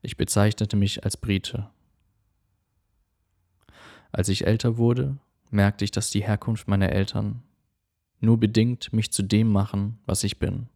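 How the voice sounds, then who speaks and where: Gravelly, flat-voiced male narrator. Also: lowish voice, measured pace, pauses often. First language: English. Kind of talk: scripted personal documentary narration.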